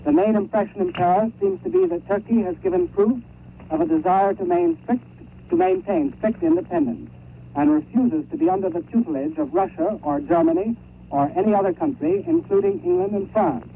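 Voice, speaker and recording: A man's voice: sits high, very animated, brisk, phone-quality sound. A man reading a scripted 1930s radio news report as a correspondent over a crackly shortwave link.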